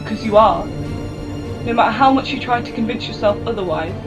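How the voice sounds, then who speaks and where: A woman's voice: a little high, moderate pace, music in the background, close to an everyday voice. A woman playing a young female companion who speaks emotionally and reproachfully in an amateur audio drama. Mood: appalled.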